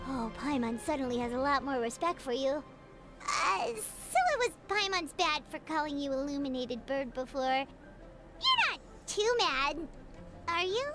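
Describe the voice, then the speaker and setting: A squeaky little floating fairy sidekick for a game, super high, childlike, bouncy pitch. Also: female voice, big pitch swings, a little slow, loud music behind the voice. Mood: sheepish. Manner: refers to self in third person, perky. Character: tiny excitable floating fairy companion, childlike, talkative.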